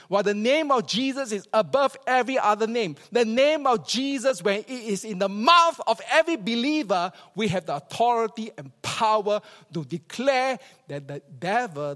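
A man's voice: high-pitched, a bit bright. A man with a Singaporean accent preaching a church sermon as a pastor, emphatic and repeating phrases for effect. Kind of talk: church sermon with scripture reading and storytelling. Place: large church hall, preaching to a congregation. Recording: reverberant hall.

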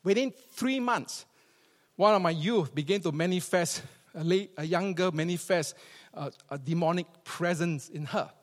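High-pitched Singaporean male preacher in reverberant hall. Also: normal speed. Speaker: pastor, preacher. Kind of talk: church sermon with scripture reading and storytelling. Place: large church hall, preaching to a congregation.

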